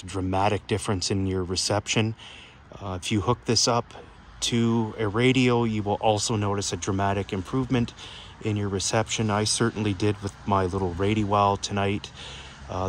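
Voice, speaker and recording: A man's voice: lowish voice, fairly bright tone. A man giving a casual, plain-spoken, explanatory demonstration outdoors into a phone. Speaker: hobbyist video presenter. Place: outdoors on a backyard patio at night. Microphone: handheld phone camera. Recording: outdoor phone video with background noise.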